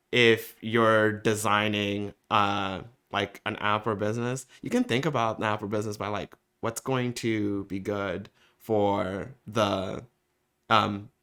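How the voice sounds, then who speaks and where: A man, a community organizer, answering interview questions in a thoughtful, halting way with frequent pauses. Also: on the low side, sharp tone, clear, resonant tone. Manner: thoughtful, many fillers and restarts.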